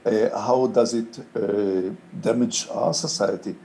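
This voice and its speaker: An older man with a low, mellow voice speaks English as a second language, his first being Hebrew. He talks slowly and thoughtfully, often pausing on fillers as he gathers his words. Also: steady tone, rough recording. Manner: thoughtful, halting, many "uh" fillers. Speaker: interviewed playwright.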